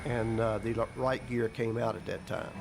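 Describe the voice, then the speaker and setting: A man's voice: medium pitch, fairly even intonation, normal speed, creaky, background noise. A man, an airline captain, recalling events in a documentary interview in a plain, matter-of-fact way with folksy grammar. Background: background music.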